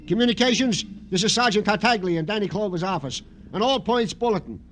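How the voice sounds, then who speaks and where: An earnest, thin-skinned police sergeant in an old radio drama. The man's voice is notably high, with a theatrical, comic delivery over faint recording noise. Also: animated, fast, fairly bright tone. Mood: self-important. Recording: noisy vintage radio broadcast.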